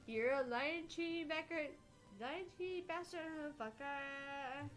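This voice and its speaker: A woman's voice: high voice, takes it slowly, soft background music. A woman streaming a game with casual, foul-mouthed, joking banter.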